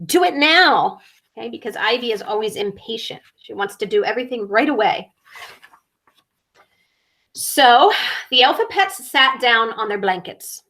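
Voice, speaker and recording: A mature woman reading a children's story aloud, deliberately stressing key words and adding small asides for young listeners. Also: a little high, sharp tone.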